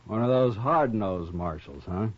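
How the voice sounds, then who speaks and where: Low-voiced, sour frontier sidekick. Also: male voice, lively intonation, measured pace, slightly rough. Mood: sardonic. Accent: American. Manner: grumbling, sardonic. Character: sour, sceptical drawling partner of a crooked gambler. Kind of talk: 1950s radio western acting. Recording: muffled vintage radio recording.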